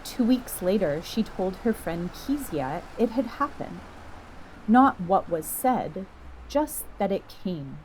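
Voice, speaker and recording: A woman reading a scripted literary story as narrator, in a measured, reflective manner. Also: medium pitch, very animated, a little mellow, slight background noise, poor audio.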